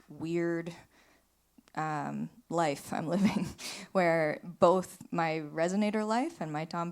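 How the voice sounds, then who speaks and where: Low-voiced woman chatting on a panel. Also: darkish tone, smooth. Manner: rambling storytelling, frequent "like" and "you know". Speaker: film director on a panel. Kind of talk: casual panel conversation. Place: small theater, live panel.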